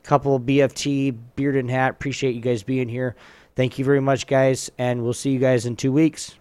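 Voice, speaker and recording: A man's voice: medium pitch, level tone, moderate pace, a little mellow, clean tone, no echo. A man hosting a podcast in a casual, rambling, laid-back and informal way. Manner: informal, laid-back, loses train of thought. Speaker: podcast host.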